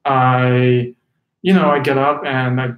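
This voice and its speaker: A man from China being interviewed as a company executive, chatting casually and hesitantly with pauses and self-corrections. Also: mid-range voice, little pitch movement, narrow, tinny audio. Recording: roomy, echoing sound.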